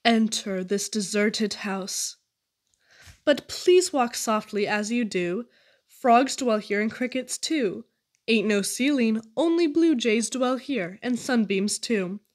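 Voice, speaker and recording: A woman reads children's verse expressively. Her voice sits high for a woman, and she goes slowly through each line. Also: lively intonation, a little mellow. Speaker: poetry reader. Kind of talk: expressive children's poetry reading.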